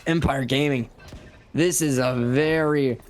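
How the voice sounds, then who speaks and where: A man's voice: medium pitch, fairly even intonation, slow, music in the background. A man giving live analysis as an esports caster, conversational and opinionated, with emphatic phrasing.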